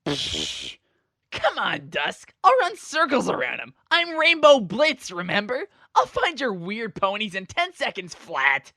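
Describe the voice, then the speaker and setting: A woman's voice: mid-range voice, wildly swooping pitch, unhurried, bright, forceful tone, no echo, studio quality, exaggerated voice. A woman voicing a brash, boastful young male pegasus pony in a fan-fiction audio story, with casual, slangy speech. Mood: cocky.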